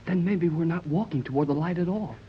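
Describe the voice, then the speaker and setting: An actor playing an eager, easily scared young American sidekick, boyish and wide-eyed, in a 1930s radio adventure serial. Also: male voice, a little high, fairly quick, natural voice. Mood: uneasy. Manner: wide-eyed, folksy exclamations. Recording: muffled, lightly noisy 1930s radio recording.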